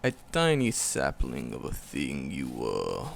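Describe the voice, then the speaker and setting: A man voicing an ancient, hulking forest demon, tender and wistful, in a gravelly, poetic, slow-phrased ASMR roleplay. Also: very deep voice, huge pitch swings, slight background noise, full character voice. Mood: fond, nostalgic. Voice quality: gravelly, growling creak. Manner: slow, poetic, storybook phrasing with dramatic pauses.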